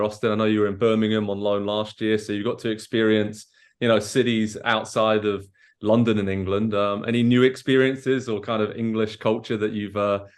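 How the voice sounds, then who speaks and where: A man interviewing a soccer player, asking long leading questions. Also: on the low side. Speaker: sports interviewer.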